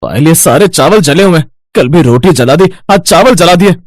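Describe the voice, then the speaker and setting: A male actor plays a young husband in a family morality tale. His voice sits a little high, and he is irritable, cold and fed up. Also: lively intonation, normal speed, no room sound, clean studio sound, lightly acted. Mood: irritated. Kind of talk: dramatized Hindi moral story.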